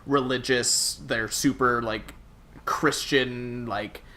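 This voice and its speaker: A man's voice: medium pitch, fairly even intonation, slow, pushed and bright. A man co-hosting a casual gaming podcast, talking informally and peppering his explanations with filler words like "like". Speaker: podcast co-host.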